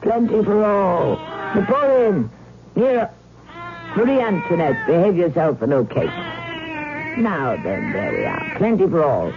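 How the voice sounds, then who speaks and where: A woman's voice: on the low side, very animated, a little slow, long pauses, background noise. Mysterious old cat woman, wildly swooping voice. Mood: fussing, coaxing. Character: mysterious old cat woman, part feline, brooding and haughty. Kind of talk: old-time radio drama acting. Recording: muffled vintage radio, loud music behind.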